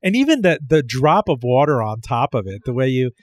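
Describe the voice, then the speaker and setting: A man's voice: mid-range voice, big pitch swings, a little fast. A man hosting an interview, asking curious and enthusiastic questions. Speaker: interview host.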